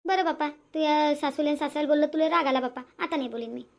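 A woman's voice: high voice, fast talker, creaky. A woman voicing a grumbling, domineering old grandmother in a cartoon family drama, with a Varhadi Marathi accent and a complaining manner. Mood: defensive. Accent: Varhadi (Vidarbha) Marathi.

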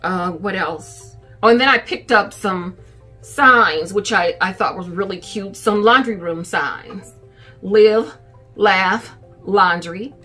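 Casting: middle-aged woman, bright forward voice, casual home haul-video chat, music underneath. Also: medium pitch, takes it slowly. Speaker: vlogger. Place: furnished living room at home, indoors.

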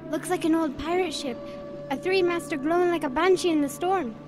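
A nervous, curious young boy, pitched very high. The wartime radio drama has clear music behind the voice. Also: fairly quick. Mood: amazed, alarmed. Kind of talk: audio drama acting.

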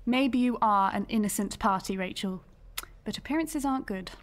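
A woman's voice: mid-range voice, moderate pace, lightly acted. An actress playing a businesslike female police sergeant in an audio drama, firm and matter-of-fact. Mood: easing, practical.

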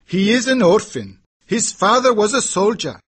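A man voicing a sly red-bearded male horse trader in a high, loud, theatrical voice. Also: big pitch swings, moderate pace, slightly rough, heavily acted.

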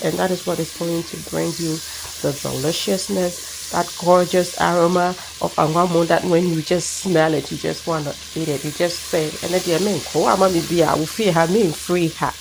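A woman's voice: fairly low pitch, creaky, noisy background. A middle-aged woman from Ghana with a Ghanaian accent, chatting through a cooking demonstration outdoors on a backyard patio, explaining each step as she goes. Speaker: cooking channel host. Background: sizzling pan, birds.

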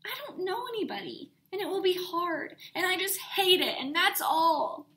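A woman's voice: very high voice, animated, moderate pace, sharp tone, pitched above the performer's own voice, exaggerated voice. A woman voicing a reluctant, nervous woman who whines that she will not go. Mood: whining. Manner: whiny, sulky, drawn out. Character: reluctant, whiny nervous new teacher.

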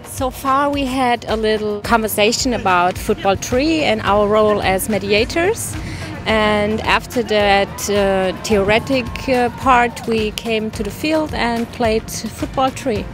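A young woman from Iran, answering interview questions outdoors in a quick, hesitant way. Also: a little high, over music. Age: young adult. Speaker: youth worker. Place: outdoors by a sports field.

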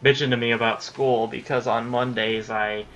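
A man's voice: mid-range voice, steady tone, moderate pace, a bit bright, dull audio, slight background noise. A young man in his late teens rambling casually about his recording plans while playing a game, swearing when things go wrong. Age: young adult. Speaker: gaming YouTuber. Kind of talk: casual commentary over gameplay.

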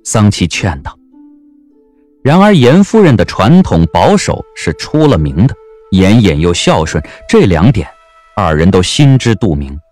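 Male audiobook narrator, low pitch, lively intonation, expressive period romance storytelling, soft music behind. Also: unhurried. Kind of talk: scripted narration, historical romance audio drama.

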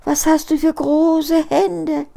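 A woman's voice: high voice, level tone, takes it slowly, gravelly, mellow, pitched above the performer's own voice, full character voice. An elderly woman voicing an innocent, wondering little girl in a fairy tale, earnest and exclaiming. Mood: anxious wonder.

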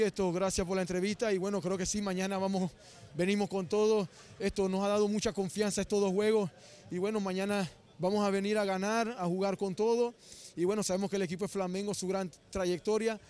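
A young Panamanian basketball player with a Panamanian Spanish accent, answering earnestly and haltingly into a handheld mic in an arena. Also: male voice, high-pitched, level tone, darkish tone. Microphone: handheld mic held by interviewer.

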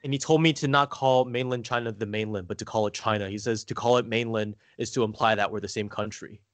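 Man chatting, halting and close-miked. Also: fairly low pitch, fairly even intonation, no echo. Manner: halting, rambling, peppered with "like". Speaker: podcast guest. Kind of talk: casual conversational storytelling.

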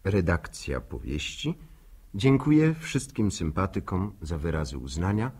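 A man's voice: deep voice, sing-song, unhurried, darkish tone, slightly rough. A male radio announcer from the 1960s, formally reading out scripted notices and listener letters in a courteous, projected manner.

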